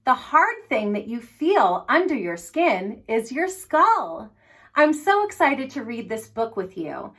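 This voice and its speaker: High-voiced woman hosting children's story time. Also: slow pace, slightly rough. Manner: animated, encouraging, addresses young listeners directly. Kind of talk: reading and singing aloud to young children.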